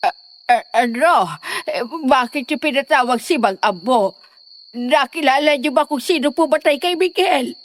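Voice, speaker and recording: Woman playing a distraught, high-pitched village aunt. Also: slight background noise, close to an everyday voice. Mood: distraught, stammering. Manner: stammering, halting. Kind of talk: Filipino audio horror drama acting.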